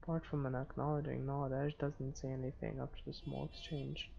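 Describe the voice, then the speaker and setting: A man hosting a gaming video, reading visual novel narration aloud in a halting way with stumbles. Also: medium pitch, flat delivery, gravelly. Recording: dull lo-fi microphone, faint noise.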